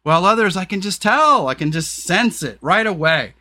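A man's voice: animated, moderate pace, sharp tone. Frank, rambling man podcast host. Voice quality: deep voice. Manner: frank and profane, rambling with many fillers. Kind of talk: casual podcast conversation.

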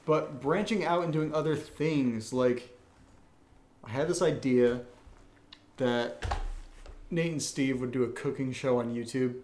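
A man's voice: mid-range voice, a little slow, long pauses, darkish tone, slight background noise. A man hosting a casual chat in a joking, informal manner. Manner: joking, self-deprecating. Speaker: podcast host. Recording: roomy, echoey live-stream sound.

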